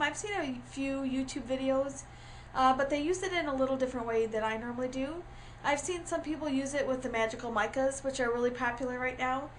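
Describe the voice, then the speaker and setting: Woman, high voice, congested from a cold, chatty and full of filler words, camera-mic sound with faint ambience. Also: a bit bright, slight background noise, poor audio. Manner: chatty with frequent ums and uhs. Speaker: craft tutorial host. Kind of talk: casual craft tutorial.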